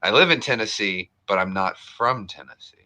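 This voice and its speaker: A man's voice: lowish voice, animated, moderate pace, pushed and bright, rough recording. A man co-hosting a live stream, joking and teasing in casual banter and laughing often. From born in Germany, lives in the US. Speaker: livestream co-host.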